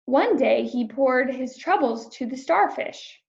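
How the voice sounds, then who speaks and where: A woman reading a children's picture book aloud, narrating in a clear, expressive storytelling manner. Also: high voice, a little slow, dull audio. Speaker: storybook reader.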